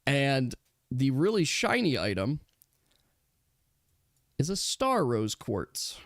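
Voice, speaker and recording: A man acts as game master in a dry, close-sounding recording. He talks slowly with a very varied pitch, halting as he improvises and thinks aloud. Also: huge pitch swings, no room sound. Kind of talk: casual improvised tabletop game narration.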